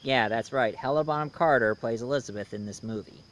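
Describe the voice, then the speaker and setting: A man's voice: medium pitch, brisk, a bit bright, slight background noise. A man giving a casual, rambling movie review with playful, theatrical emphasis. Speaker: video reviewer, voice impressionist.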